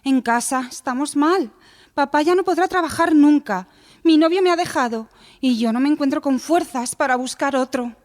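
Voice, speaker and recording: An actress plays a contrite, downcast teenage girl in a radio drama. Her high voice has a theatrical delivery and a long room reverb. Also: normal speed, close to an everyday voice. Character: Valentina, repentant, downcast seventeen-year-old young woman.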